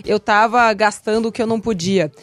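A woman hosting a radio talk show, playful and animated. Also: medium pitch, fairly quick. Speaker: show host.

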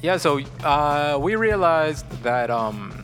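A man's voice: a little high, big pitch swings, slow, fairly bright tone, faint music behind. A man hosting a podcast in a studio, chatting casually and often restarting his sentences. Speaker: podcast host.

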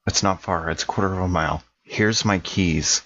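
A man's voice: sits low, fairly even intonation, normal speed, a bit bright. A man playing a tabletop roleplaying game, talking casually and improvising as he goes. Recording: dull, lo-fi microphone sound.

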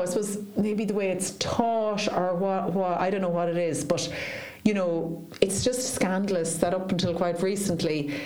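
A middle-aged Irish woman talks easily as a podcast guest, her voice sitting on the low side. She circles back and restarts her sentences as she thinks aloud. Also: a little mellow, poor audio. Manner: chatty, trails off and restarts mid-thought. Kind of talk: casual conversation.

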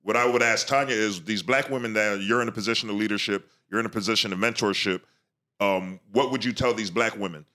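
A man's voice: fairly low pitch, steady tone, fast talker, pushed and bright. A man on a conversational panel, emphatically restating points and putting questions to the others. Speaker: podcast panelist.

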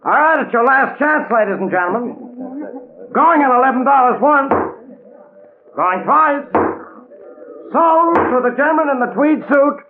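An actor playing a jovial charity auctioneer with showy, coaxing patter in a 1940s radio drama. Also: male voice, extremely high pitch, animated, measured pace, long pauses. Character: jovial, fast-patter male charity auctioneer working a crowd. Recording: tinny, noisy 1940s radio recording.

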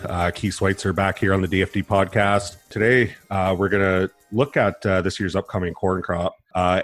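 A man's voice: deep voice, no echo, studio quality. A man hosting a podcast in a casual interview, friendly and full of hesitant fillers. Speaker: podcast host.

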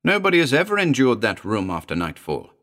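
A man's voice: medium pitch, huge pitch swings, a little fast, fairly bright tone, pitched above the performer's own voice. A man voicing a querulous, frightened housekeeper. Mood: alarmed protest. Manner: querulous, rising, fearful protest. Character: frightened, querulous housekeeper woman, anxious and reluctant. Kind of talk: dramatic audiobook character reading.

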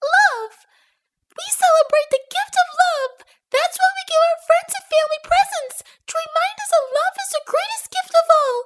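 A woman's voice: very high voice, normal speed, roomy sound, slight background noise. A woman voicing an innocent, earnest little girl rabbit in a cartoon-like radio play. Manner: childlike, earnest. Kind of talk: cartoon-like audio drama acting. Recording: loud music under the voice.

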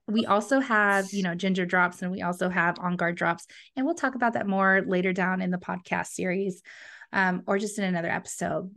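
A woman co-hosting a podcast, chatting enthusiastically and speaking straight to her listeners. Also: on the low side. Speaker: podcast co-host. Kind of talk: casual podcast chat.

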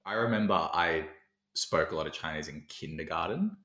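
A man's voice: extremely low pitch, normal speed, bright, forceful tone. A man in casual conversation as a podcast co-host, reflective and thinking aloud with fillers. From Australia. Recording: roomy echo.

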